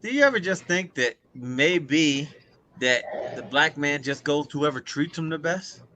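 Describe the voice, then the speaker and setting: A man with a bright, forceful voice presses his questions in an online debate. He leans hard on key words and draws them out. His voice sits slightly high for a man. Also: animated, slight background noise. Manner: challenging, drawn-out emphasis. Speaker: debate panelist.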